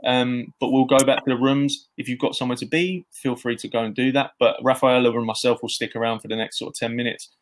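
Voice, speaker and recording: A man in his thirties hosting an online event over a video call from a bright room at home, friendly and relaxed, with plenty of ums. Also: medium pitch, fast, few pauses. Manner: friendly, relaxed, filler-heavy. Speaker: event host. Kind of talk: moderating a video call session.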